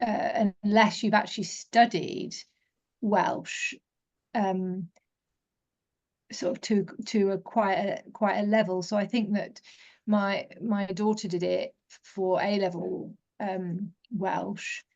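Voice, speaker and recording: A woman's voice: medium pitch, little pitch movement, slow pace, a little mellow. A middle-aged woman in a discussion group, thinking aloud hesitantly with many fillers. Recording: dull lo-fi sound.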